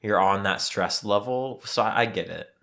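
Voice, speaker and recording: A man co-hosting a podcast in casual chat, congested and sniffly from allergies, talking in a relaxed, conversational way. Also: fairly low pitch, moderate pace, gravelly. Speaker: podcast co-host.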